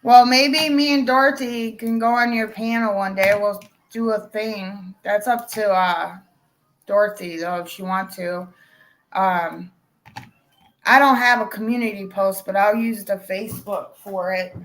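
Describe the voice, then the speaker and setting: A woman hosting a casual livestream chat, speaking haltingly with many fillers. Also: mid-range voice, steady tone, a bit bright, low-quality recording. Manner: slow, halting, full of ums and uhs. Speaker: livestream host.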